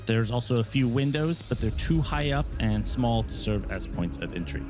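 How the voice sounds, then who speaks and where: A man running a tabletop game as game master, setting scenes in a steady, descriptive way. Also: mid-range voice, normal speed, faint music behind.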